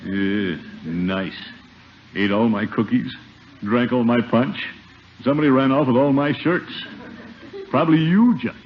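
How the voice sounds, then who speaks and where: An actor playing a blustery, aggrieved middle-aged American uncle in 1950s radio comedy, grumbling and exclaiming theatrically. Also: male voice, medium pitch, measured pace, pauses often, lightly acted. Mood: sulky, accusing. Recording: muffled, noisy 1950 radio recording.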